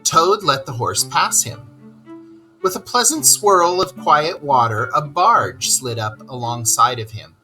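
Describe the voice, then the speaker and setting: Slow, animated woman reading a storybook. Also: a bass voice, low-quality recording. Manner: expressive, explains words to children. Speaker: storybook reader. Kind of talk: read-aloud narration for children.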